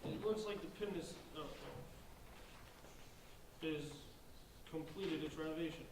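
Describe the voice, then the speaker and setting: A man's voice: fairly high pitch, a little slow, natural voice. A man playing an earnest, brainy boy in an amateur staged reading, explaining himself hesitantly. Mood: thoughtful. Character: earnest brainy boy planning to free trapped spirits. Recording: roomy, distant mic, faint noise.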